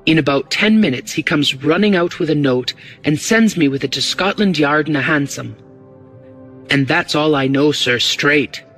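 A man's voice: fairly high pitch, normal speed, a bit bright, soft background music. A man voicing a glib, eager porter in an audiobook, with a working-class London accent and a confiding, deferential manner. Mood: gossipy, excited.

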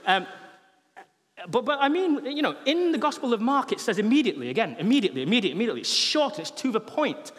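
A man's voice: extremely high pitch, quick pace. A man in his thirties, a pastor, preaching a sermon in a conversational, humorous way on stage in a large church hall, through a headset mic. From England. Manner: conversational and humorous, with animated gestures. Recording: long hall reverb.

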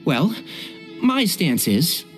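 An actor playing a shrewd, composed young scholar in a video game, articulate and polite but firm. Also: male voice, on the high side, very animated, extremely slow, fairly bright tone, faint music behind, exaggerated voice. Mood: analytical, firm. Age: young adult. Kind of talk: video game dialogue acting.

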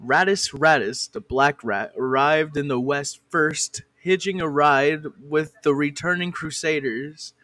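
A man's voice: a little high, measured pace, few pauses, sharp tone, no room sound. A man narrating a comic fan parody of an educational cartoon, breaking off to swear and argue with a director.

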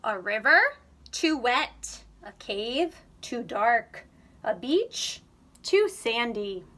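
A woman in her thirties reading a children's picture book aloud, slow and expressive, recorded on a webcam in a small room. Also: on the high side, a little hiss or hum. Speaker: read-aloud reader. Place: indoor room, under a sloped ceiling.

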